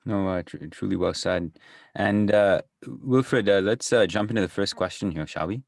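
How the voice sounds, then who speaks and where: A man hosts a podcast interview and asks his questions in an easy, slightly hesitant way. His voice is low and gravelly. It sounds dry and close. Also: normal speed, dry recording. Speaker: podcast host.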